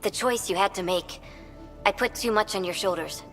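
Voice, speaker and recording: A woman's voice: mid-range voice, moderate pace, a bit bright, soft background music, natural voice. A woman playing an earnest, dignified alien expedition leader in a video game, formal and composed. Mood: sincere. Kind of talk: video game cutscene acting.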